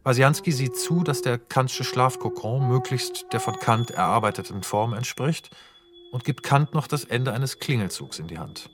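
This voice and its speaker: A man narrating stage directions for a radio play in a measured, even and descriptive way. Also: medium pitch, music in the background. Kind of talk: scripted narration.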